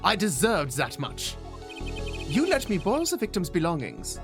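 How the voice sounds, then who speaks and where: A streamer reading aloud the lines of a confident young male rock-star prosecutor during a game playthrough, in a smooth, self-assured way. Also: mid-range voice, huge pitch swings, normal speed. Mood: hurt. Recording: game music behind the voice.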